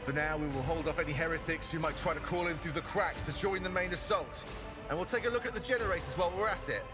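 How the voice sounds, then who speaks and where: A man's voice: a little high, quick pace, natural voice. A man playing a loyal, practical crewman in a video game, talking plainly. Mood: resolute, practical. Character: loyal, practical male crewman holding the line. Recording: voice under loud music.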